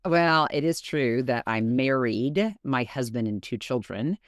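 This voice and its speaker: A woman's voice: a bass voice, lively intonation, measured pace, dry recording, clean studio sound. A woman and mother co-hosting a podcast in casual chat, frank and blunt, laughing easily. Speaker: podcast co-host.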